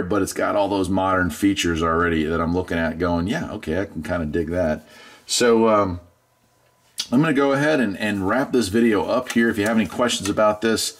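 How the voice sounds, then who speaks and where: A middle-aged man chatting casually through a product unboxing in a laid-back, folksy way. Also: on the low side. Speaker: product reviewer, channel host.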